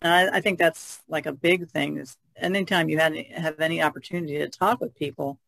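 Female podcast co-host, casual, gravelly low register, dry close recording. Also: steady tone, a little fast, fairly bright tone, dry recording.

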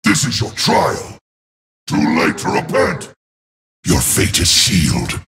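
Gloating hell gatekeeper demon, gravelly, wildly swooping pitch, theatrical menace, video game villain. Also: male voice, wildly swooping pitch, takes it slowly, fairly bright tone, slight background noise, heavily acted. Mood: menacing, commanding. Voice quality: gravelly, growling. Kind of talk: video game champion voice lines.

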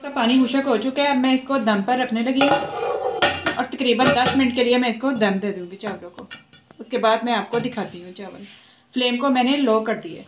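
High-voiced woman narrating cooking over sizzle. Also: lo-fi sound. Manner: unhurried, repeats each step as she works. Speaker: cooking channel host. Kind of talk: step-by-step cooking instruction. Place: home kitchen, at the stove. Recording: echoey kitchen with sizzling noise. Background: frying and sizzling from the pot.

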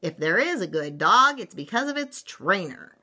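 A woman's voice: on the low side, very animated, normal speed, bright, forceful tone, voice pushed up, full character voice. A woman voicing a bossy mother cat from a storybook, scolding in an emphatic, dramatic manner.

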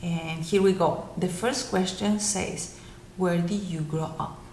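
A woman in her thirties from Mexico with a Mexican Spanish accent, answering interview questions at home in a gentle storytelling tone for children. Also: lowish voice, steady tone, takes it slowly. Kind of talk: casual answers to a video interview. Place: living room at home. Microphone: laptop webcam. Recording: roomy home webcam recording, faint hiss.

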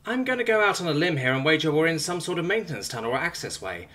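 A man voicing a defiant, sarcastic young woman held captive, raising his pitch and speaking quickly. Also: very animated, sharp tone. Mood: cocky.

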